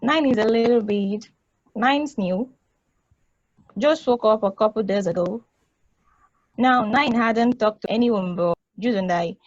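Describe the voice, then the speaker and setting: A woman's voice: fairly high pitch, unhurried, long pauses, dry recording. A woman acts out a sentient space probe with a big-sister streak. She is blunt, casual and teasing, yet protective. The sound is dry and a little dull, like a modest home microphone. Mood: friendly, brisk. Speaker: actor in a table read. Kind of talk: play table read, conversational acting.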